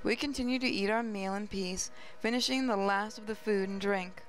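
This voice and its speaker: A woman's voice: medium pitch, moderate pace, poor audio. A woman hosting a gaming channel, reading story narration aloud with her own asides.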